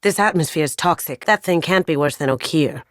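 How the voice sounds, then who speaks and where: A woman's voice: sits low, normal speed, no echo, studio quality, lightly acted. A woman voicing a playful, wry young master thief in a sci-fi video game, with casual, cheeky delivery. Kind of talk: video game squad voice lines.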